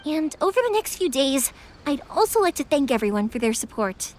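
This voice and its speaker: A woman's voice: high voice, sing-song, fairly quick, darkish tone, soft background music. A young woman voicing a cheerful, easygoing, big-sisterly fireworks maker in a video game, chatty and friendly. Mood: friendly, upbeat. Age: young adult. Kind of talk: English video game character voice acting.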